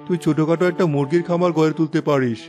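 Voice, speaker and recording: A man's voice: a little high, fairly even intonation, very fast, warm tone, soft background music, close to an everyday voice. A man voicing a helpful village shopkeeper who gives practical advice in a moral story cartoon. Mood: helpful, matter-of-fact. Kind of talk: scripted children's moral story cartoon dubbing.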